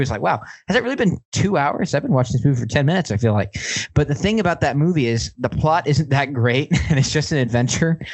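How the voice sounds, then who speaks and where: A man hosting a podcast, giving opinions in a casual, emphatic, hyperbolic style. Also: medium pitch. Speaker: podcast host.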